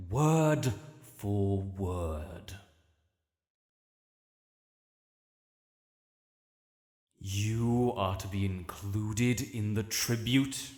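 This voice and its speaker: Gravelly ancient dragon lord, cavernous. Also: male voice, sharp tone, heavily acted. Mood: rising indignation. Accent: British. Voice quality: low, gravelly. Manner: formal, commanding, haughty, deliberate archaic phrasing. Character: ancient, imperious male dragon lord, proud, quick to righteous anger. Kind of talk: fantasy audio roleplay, addressing the listener. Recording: cavernous reverb, long echo.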